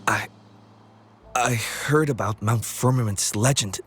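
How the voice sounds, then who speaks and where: Game casting: wounded young explorer, mid-pitched, very slow and labored, stubborn, devoted, music behind. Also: male voice, fairly bright tone, slight background noise. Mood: weary, urgent. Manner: halting, labored delivery. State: injured, weak, strained. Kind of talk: video game voice acting. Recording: background music under the voice.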